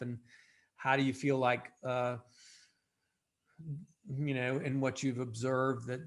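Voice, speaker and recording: A man's voice: mid-range voice, fairly bright tone. A man hosting an interview, posing long, searching questions with frequent fillers. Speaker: host.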